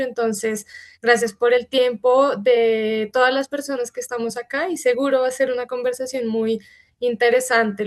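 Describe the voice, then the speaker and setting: A woman offers a polite, grateful welcome over a video call. Her voice sits fairly high and stays level. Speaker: director. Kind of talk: welcome speech.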